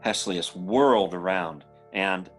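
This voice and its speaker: An actor playing an anxious young man who narrates a supernatural horror tale in old-time radio style, with a halting delivery. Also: sits low. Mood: grave narration. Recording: old-time radio drama with faint music.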